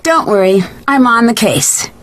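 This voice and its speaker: A woman's voice: fairly high pitch, sing-song, a little slow. An actress playing a confident, inquisitive young woman detective in a video game, polite, direct and probing. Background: faint music.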